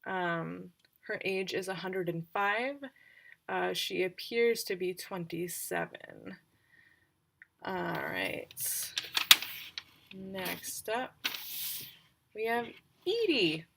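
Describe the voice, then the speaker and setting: A woman game master talking casually and haltingly, thinking aloud as she recaps roleplaying characters on a livestream. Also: on the low side, creaky, background noise.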